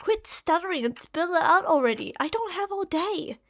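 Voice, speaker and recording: A woman's voice: extremely high pitch, lively intonation, moderate pace. A woman playing an impatient, obsessive female mad scientist in an ASMR roleplay, theatrical and condescending. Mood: brisk, evasive.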